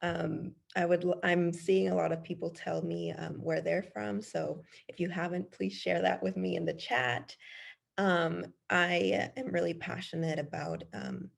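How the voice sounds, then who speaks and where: A woman's voice: fairly low pitch, fairly even intonation, measured pace, creaky. A young woman from El Salvador presenting research in a webinar, earnest and full of "um" fillers.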